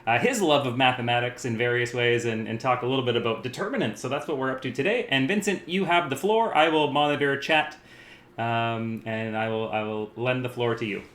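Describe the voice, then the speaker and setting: A man's voice: medium pitch, a little fast, fairly bright tone. Stream host man in an echoey room. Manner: loose, stumbling welcomes. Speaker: reading group host. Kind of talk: live stream hosting. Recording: roomy echo.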